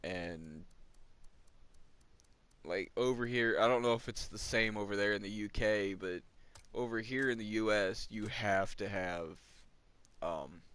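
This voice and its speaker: Lower-voiced young man ranting. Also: fairly bright tone. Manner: ranting, complaining, chuckles between remarks. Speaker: gamer. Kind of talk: casual rambling chat over gameplay.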